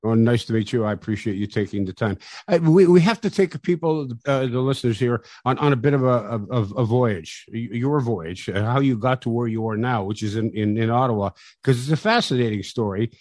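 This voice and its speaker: A man hosts a podcast interview, his voice sitting at a middle pitch. He often stops and restarts mid-phrase while asking questions. The sound is dry and close. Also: darkish tone, no echo. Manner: halting, repeats words. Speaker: podcast host.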